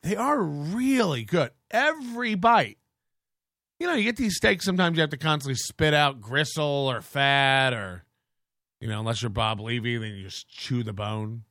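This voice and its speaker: A man's voice: mid-range voice, expressive, normal speed, bright, forceful tone, no echo, studio quality. A man hosting a podcast in casual, rambling chat, crude and joking, with lots of restarts. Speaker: podcast host.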